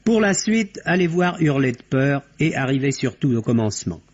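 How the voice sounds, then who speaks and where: A man's voice: medium pitch, very animated, normal speed. A man delivering a formal, articulate, scripted film review as a radio critic. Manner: articulate, formal, literary phrasing. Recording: dull, band-limited vintage radio recording.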